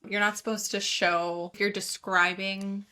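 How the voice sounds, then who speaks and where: A young woman with an American accent talks in a relaxed, chatty way, piling on fillers as she explains. Her voice is bright and forceful, sitting at an ordinary pitch for a woman. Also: measured pace. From United States. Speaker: podcast co-host. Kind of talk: casual chat.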